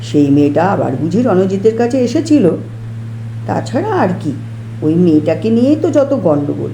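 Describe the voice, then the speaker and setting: A woman's voice: medium pitch, big pitch swings, moderate pace, warm tone, voice pushed down. A woman voicing a gossipy, complaining househusband in an audio story. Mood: gossipy indignant. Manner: conspiratorial, complaining, colloquial. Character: gossipy, grumbling househusband neighbour chatting over balcony. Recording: roomy echo, faint background noise.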